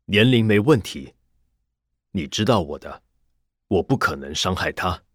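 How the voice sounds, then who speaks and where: Audiobook role: man acting a smug, evasive male teacher, low and quiet, dry sound. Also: big pitch swings, measured pace, slightly rough, no echo, full character voice. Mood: dismissive. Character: smooth, self-assured cram-school teacher, male, evasive. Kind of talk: audiobook character dialogue.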